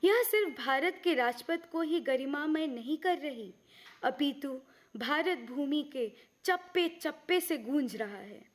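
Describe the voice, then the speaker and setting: A woman with an Indian accent reciting dramatically in a measured, declamatory way. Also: high voice, animated. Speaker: performer reciting at a poetry dramatisation event.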